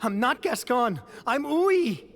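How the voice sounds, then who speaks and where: A woman's voice: a little high, very animated, slow pace, big-room sound, slight background noise, full character voice. A woman playing a frantic, pleading prisoner in a video game, insisting on being believed. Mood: desperate, pleading.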